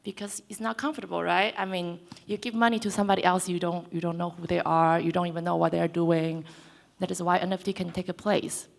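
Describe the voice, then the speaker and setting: A woman's voice: lowish voice, flat delivery, brisk, gravelly. A woman in her twenties from Taiwan, speaking on a conference panel and persuading the audience with direct questions. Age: 20s. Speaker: panelist, co-founder. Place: conference hall.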